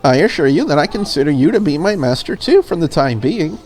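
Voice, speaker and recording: A woman voicing a polite, deferential and curious maid in a visual novel, in a courteous, measured manner. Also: sits low, expressive, darkish tone, slight background noise. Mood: reassuring, courteous. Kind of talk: visual novel character read aloud.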